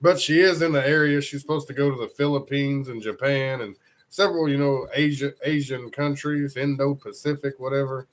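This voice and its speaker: A man's voice: medium pitch, fairly even intonation, fairly quick. A congested man hosting a casual live news stream, rambling loosely and greeting viewers from the chat. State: congested from a cold.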